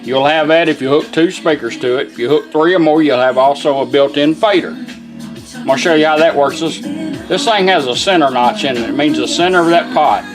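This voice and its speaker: A man's voice: on the high side, fairly quick. A plain-spoken, folksy man giving a hands-on how-to demonstration. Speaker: product demonstrator. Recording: music from a radio playing behind.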